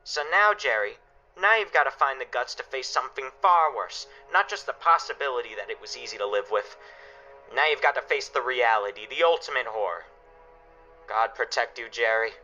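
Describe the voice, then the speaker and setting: Stern family protector played by a man, radio play: lower-pitched, intensely forceful, grave, emphatic speeches. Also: lively intonation, a little fast, dull audio, soft background music. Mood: bitter, reproachful. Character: stern, anguished father guarding his family's shelter. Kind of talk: dramatic radio play acting.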